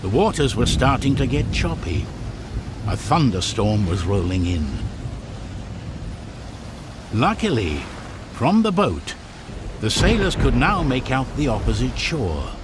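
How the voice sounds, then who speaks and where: A man narrating a video game story in a measured, dramatic storyteller's manner, with music behind him. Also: mid-range voice, sing-song, background noise. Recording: music under the voice.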